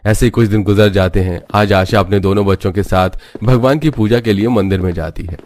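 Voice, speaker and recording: A man serves as narrator of a cartoon folk tale. His voice is on the low side. He reads scripted narration in a dry, echo-free recording. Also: a little fast, darkish tone. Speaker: storyteller, narrator.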